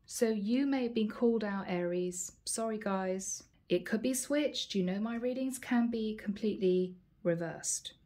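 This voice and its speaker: A woman reading tarot cards at home and talking casually to her viewers, her voice a little hoarse from a long day. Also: medium pitch, measured pace, hardly any pauses. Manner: chatty, warm address to viewers, frequent "guys" and "okay". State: slightly hoarse, losing her voice. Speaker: tarot reader. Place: indoor home room, table with plants.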